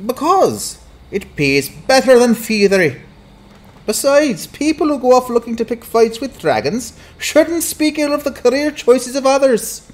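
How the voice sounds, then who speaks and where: A man's voice: very high voice, sing-song, measured pace, heavily acted. A man voicing a slick, fatherly sports agent and hustler in a dramatized fantasy audiobook, genial and wheedling. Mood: genial, smooth. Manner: genial, wheedling, calls listeners "son".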